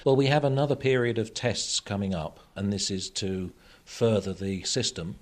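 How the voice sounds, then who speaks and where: Male technical expert, slightly low pitch, measured and factual interview delivery. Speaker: radio technology expert guest. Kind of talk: explanatory interview answers.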